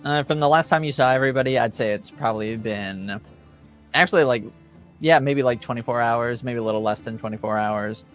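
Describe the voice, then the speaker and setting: Casual, halting man running a game. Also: medium pitch, a bit bright. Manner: halting, thinking aloud. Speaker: game master. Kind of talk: improvised tabletop game narration, casual. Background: music.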